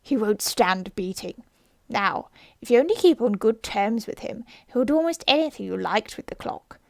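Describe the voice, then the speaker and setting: A woman's voice: a little high, lively intonation, moderate pace, creaky, a little mellow, no echo. A woman voicing an eccentric, huffy and mournful male hatter from a storybook, in a declamatory, exaggerated way. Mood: contemptuous, boastful. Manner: declamatory, contemptuous, exaggerated swings. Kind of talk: storybook character voice.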